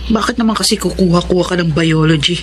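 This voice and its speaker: A woman's voice: lowish voice, fast talker, a little hiss or hum, lightly acted. A woman playing a nagging, dutiful college-age older sister, scolding in a radio drama. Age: young adult. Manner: scolding, confrontational.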